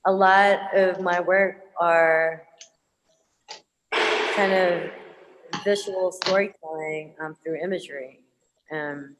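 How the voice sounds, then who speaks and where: Woman, Tagalog first language, low voice, hesitant, many fillers, distant reverberant sound, faint hiss. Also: steady tone, slow pace, long pauses. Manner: hesitant, many ums and restarts. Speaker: muralist, visual artist panelist. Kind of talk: panel Q&A answer.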